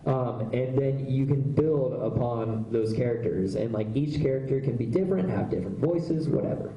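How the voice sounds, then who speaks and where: A man talks loosely to a room of fans, full of filler words and restarts. His tone is dark and mellow, at a middling pitch. The sound bounces around an echoey panel room. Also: normal speed. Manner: chatty, loose, lots of fillers. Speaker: voice actor hosting a convention panel. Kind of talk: casual convention panel Q&A.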